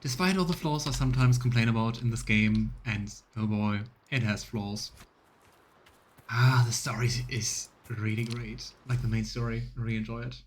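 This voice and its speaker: A man hosting a game let's play, thinking aloud in casual commentary full of fillers and quick reactions. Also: mid-range voice, steady tone, a little hiss or hum. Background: loud game music.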